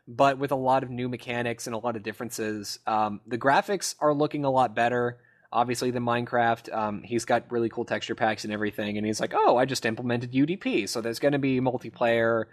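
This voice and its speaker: A man chats as a podcast host in a casual, unscripted style. He has a middling pitch and explains things enthusiastically, wandering a little as he goes. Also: steady tone, brisk. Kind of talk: casual gaming podcast chat.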